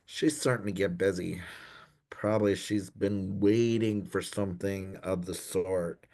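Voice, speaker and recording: An actor playing an anxious, dim young gentleman in a comic period radio play, dithering and apologetic in posh old-fashioned slang. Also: low voice, steady tone, unhurried. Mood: fretful. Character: anxious, dim young upper-class Edwardian gentleman in trouble.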